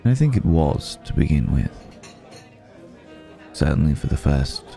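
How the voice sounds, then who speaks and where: A man playing a refined, wistful, centuries-old vampire in an intimate ASMR roleplay, speaking reflectively with lingering pauses. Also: very deep voice, big pitch swings, vocal fry, dark tone, faint music behind, full character voice. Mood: wistful, mournful.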